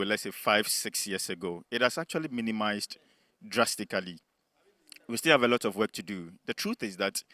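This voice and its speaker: A man with a Ghanaian accent giving his opinion on a panel, unscripted, in a measured, explanatory way. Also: lowish voice, sharp tone, no room sound. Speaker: panelist on a TV discussion show.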